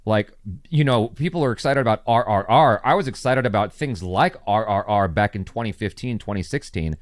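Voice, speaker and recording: Enthusiastic low-voiced man guest. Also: fairly quick, no room sound, clean studio sound. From United States. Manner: animated, enthusiastic storytelling. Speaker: guest, reaction channel creator. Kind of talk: casual interview storytelling.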